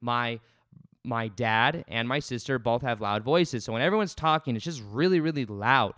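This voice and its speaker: A man in his late twenties with a loud voice, hosting a casual spiritual self-help podcast and talking in an earnest, rambling way with many restarts. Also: mid-range voice, moderate pace, no echo, clean studio sound. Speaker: podcast host. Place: home studio.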